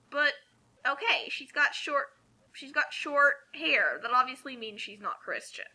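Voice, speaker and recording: A woman's voice: on the high side, bright, forceful tone, rough recording. A woman giving casual, opinionated, sarcastic comic commentary as a co-reader.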